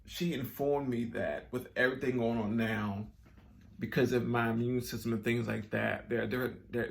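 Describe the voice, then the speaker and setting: A man's voice: mid-range voice, gravelly, fairly bright tone. A man talking casually to his viewers, earnest and rambling with many restarts, sounding a bit nasal and stuffed up. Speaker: vlogger. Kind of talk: casual personal talk to camera.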